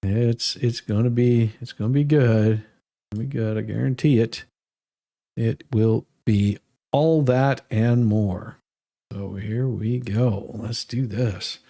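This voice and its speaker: A man's voice: medium pitch, steady tone, takes it slowly, dark tone. Sarcastic man reading aloud with commentary. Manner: sarcastic, stumbles over long legal words. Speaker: livestream host. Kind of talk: dramatic reading of a legal filing with mocking asides.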